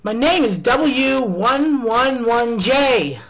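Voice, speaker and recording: Woman as a slow-talking, put-upon squad member. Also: a little high, expressive, heavily acted, rough recording. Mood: indignant. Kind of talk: hobby radio drama acting.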